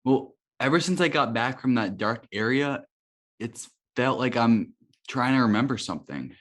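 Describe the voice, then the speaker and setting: A man playing an anxious superhero newcomer, speaking haltingly in a scripted table read. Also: mid-range voice, lively intonation, fairly bright tone. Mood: worried, hesitant. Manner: halting, unsure. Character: anxious, hesitant young male superhero newcomer.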